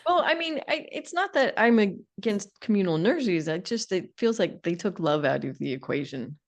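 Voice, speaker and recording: A woman's voice: medium pitch, lively intonation, normal speed. A woman co-hosting a podcast, talking about books in a candid, informal, wry way. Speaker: podcast co-host. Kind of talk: casual book discussion.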